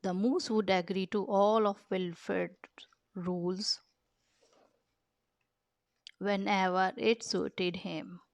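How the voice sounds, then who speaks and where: Slow-paced woman reading a storybook. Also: mid-range voice, fairly even intonation, no room sound. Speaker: children's picture book reader. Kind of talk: storybook read-aloud narration.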